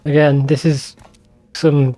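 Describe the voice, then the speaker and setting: A man's voice: fairly high pitch, level tone, takes it slowly, dark tone. Casual male gamer over faint music. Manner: informal, sweary. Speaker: let's play host. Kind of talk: casual gaming commentary. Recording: faint game music behind voice. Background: game soundtrack.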